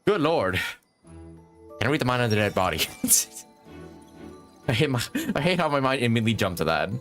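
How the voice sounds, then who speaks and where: A man's voice: mid-range voice, sing-song, normal speed, fairly bright tone. A man streaming a game, thinking aloud in casual commentary and reading on-screen text. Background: game music.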